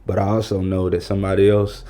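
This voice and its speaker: Male, 40s, podcast guest. Deep-leaning, very mellow delivery, conversational and slangy. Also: monotone, fast, clear, resonant tone. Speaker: podcast guest, brand strategist and producer.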